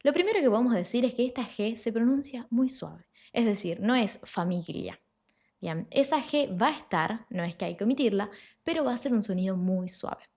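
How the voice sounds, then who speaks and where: A woman giving an online lesson as an Italian teacher, explaining sounds clearly and slowing down to model them. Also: a little high, expressive, a little mellow.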